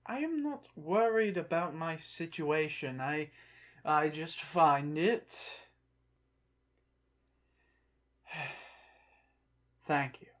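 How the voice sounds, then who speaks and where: Ancient Egyptian brought back to life, haughty and guarded, formal halting phrases, unhurried pace, ASMR roleplay actor in character. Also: medium pitch, natural voice. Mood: guarded, reluctant. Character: proud, touchy ancient Egyptian revived from the dead, museum exhibit. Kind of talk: ASMR roleplay, one side of a dialogue.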